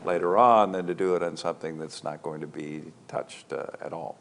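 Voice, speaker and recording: A man speaking as a panelist in a dry, measured, wry way. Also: deep voice, vocal fry, fairly bright tone, a little hiss or hum. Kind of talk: panel discussion. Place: event hall before an audience.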